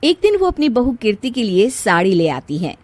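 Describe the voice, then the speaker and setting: A woman's voice: a little high, very animated, moderate pace, a little mellow, no room sound. A woman reading scripted storybook narration of a family drama. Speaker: narrator and character reader.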